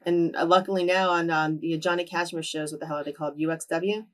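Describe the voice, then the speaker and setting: A woman's voice: fairly low pitch, quick pace, distant mic. A woman in her thirties from New Jersey, an interview guest telling stories casually and acting out the dialogue of the people in them. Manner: lively storyteller who acts out quoted dialogue. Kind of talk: casual storytelling in a sit-down interview.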